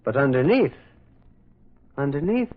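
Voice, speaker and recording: An actor playing a mild, shrewd priest detective with a hesitant, musing, folksy manner in a radio drama. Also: male voice, mid-range voice, very animated, unhurried. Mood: curious, puzzled. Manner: hesitant, musing, polite, with rambling repetitions. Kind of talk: old-time radio drama acting. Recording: muffled, slightly noisy old radio recording.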